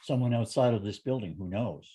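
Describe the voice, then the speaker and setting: A man's voice: lowish voice, lively intonation, a little fast, warm tone, natural voice. A man in an amateur script reading playing a blunt, weary businessman. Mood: resigned. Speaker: amateur actor at a table read.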